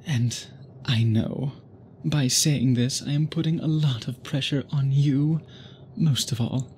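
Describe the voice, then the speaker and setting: A man playing an obsessive, tender vampire suitor in an intimate ASMR roleplay, speaking in formal, gently doting phrases. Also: mid-range voice, slow, a little hiss or hum, lightly acted. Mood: melancholy, earnest.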